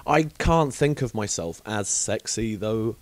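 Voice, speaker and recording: A man's voice: on the low side, animated, takes it slowly, dry recording. A man from England hosting a podcast, chatting casually with frank, awkward rambling and many fillers. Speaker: podcast host.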